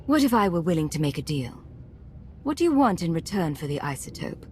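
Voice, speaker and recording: Low-voiced, cool secret agent. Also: female voice, big pitch swings, fairly quick, a little mellow, slight background noise. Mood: composed. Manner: controlled, dry. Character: cool, businesslike female imperial secret agent. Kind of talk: video game cinematic acting.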